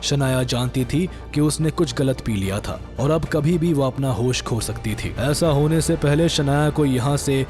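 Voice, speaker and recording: A man's voice: medium pitch, moderate pace, few pauses, darkish tone. Male dramatic narrator over prominent music. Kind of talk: scripted dramatic story narration.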